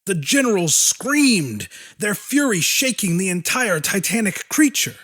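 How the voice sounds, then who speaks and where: High-voiced, expressive male audiobook narrator. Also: unhurried. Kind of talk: dramatic scripted narration.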